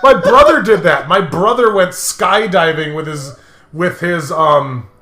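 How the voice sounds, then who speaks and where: A man's voice: on the high side, unhurried, pushed and bright. A man co-hosting a comedic game playthrough, chatting casually in a teasing, argumentative way. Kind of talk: casual banter and reading game dialogue aloud. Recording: roomy echo, music behind.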